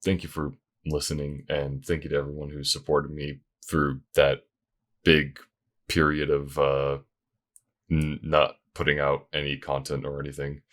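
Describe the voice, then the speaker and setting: Very deep-voiced man chatting casually. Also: completely flat delivery, unhurried, a bit bright. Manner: informal, rambling, self-effacing. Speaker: voice actor and audio creator. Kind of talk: casual update to listeners.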